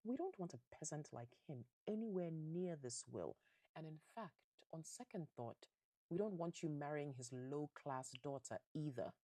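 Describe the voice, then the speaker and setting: A woman's voice: low voice, lively intonation, a little slow, warm tone, no room sound. A woman voicing a snobbish, impatient rich mother in a story, scolding and dismissive. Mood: disdainful.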